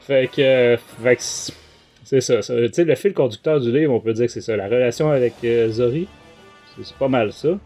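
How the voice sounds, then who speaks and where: Quebec man hosting a podcast, mellow voice. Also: mid-range voice, normal speed, soft background music. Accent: Quebec French. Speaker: podcast host. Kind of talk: casual book review talk.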